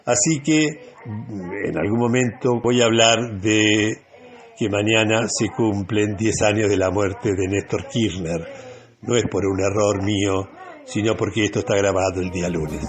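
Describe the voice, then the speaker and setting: A man with a Rioplatense Argentine accent hosting a radio show in a reflective, halting and deliberate monologue. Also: on the low side.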